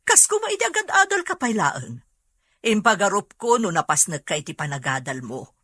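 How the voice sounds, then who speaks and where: A woman's voice: mid-range voice, very animated, normal speed, a bit bright, breathy edge, no room sound, clean studio sound. A woman acting in an Ilocano radio drama, playing a nagging, reproachful woman who shames someone over debt. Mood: scolding.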